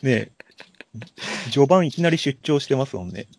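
A man's voice: mid-range voice, expressive, normal speed. A man hosting a podcast, chatting about films in a polite, conversational way. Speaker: podcast host. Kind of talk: casual film chat.

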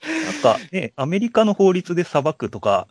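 A man's voice: high voice, wildly swooping pitch, moderate pace, vocal fry, husky, dry recording, clean studio sound. A man hosting a podcast, chatting about films in a polite, conversational way. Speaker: podcast host. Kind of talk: casual film chat.

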